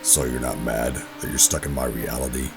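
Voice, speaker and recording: A man playing a weary rogue operative, gruff but tender, narrating a sci-fi audio drama in a brooding, deliberate way. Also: very deep voice, steady tone. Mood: soft, intimate. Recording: music bed under the voice. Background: dramatic music.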